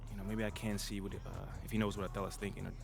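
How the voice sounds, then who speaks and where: An actor playing a confident young pro basketball player, talking in a casual, slangy, laid-back way in a game cutscene. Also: male voice, on the low side, little pitch movement, racing, vocal fry, soft background music. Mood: cajoling. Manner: casual, slangy, hesitant asides. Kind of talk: naturalistic video game cutscene acting.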